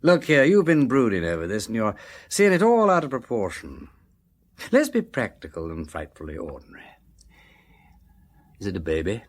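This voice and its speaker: An actor playing an urbane, flippant but kindly gentleman detective in a radio drama, with an upper-class English accent and breezy, drawling old-fashioned slang. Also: fairly low pitch, wildly swooping pitch, normal speed, pauses often. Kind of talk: full-cast radio drama acting.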